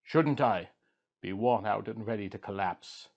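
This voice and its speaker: A man's voice: on the low side, unhurried, creaky, muffled recording, pitched below the performer's own voice. A man voicing an anguished, proud wandering king in an impassioned lament, as part of a storytelling reading. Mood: mournful.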